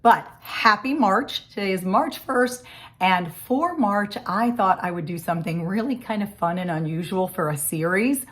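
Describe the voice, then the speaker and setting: A woman with a scratchy, hoarse voice from a cold, chatting casually through a makeup tutorial in her home office. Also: mid-range voice, animated, moderate pace, a bit bright. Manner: chatty, friendly step-by-step explaining. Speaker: makeup vlogger.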